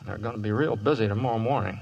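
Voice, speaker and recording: A calm, folksy cowboy drifter in a vintage radio drama. His voice sits fairly low. He speaks with understated courtesy, hesitating and doubling back on his words. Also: fast talker, creaky, slightly rough, muffled recording. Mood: gentle, tactful. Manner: hesitant, stammering, understated drawl. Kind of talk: old radio western acting.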